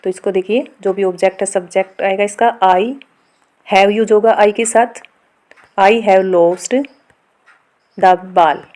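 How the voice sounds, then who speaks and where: A woman with an Indian accent explaining a lesson step by step as a teacher, reading out examples slowly and deliberately. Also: mid-range voice, steady tone, darkish tone. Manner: instructional, reads example sentences slowly and deliberately. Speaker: grammar teacher. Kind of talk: step-by-step lesson explanation mixing Hindi and English.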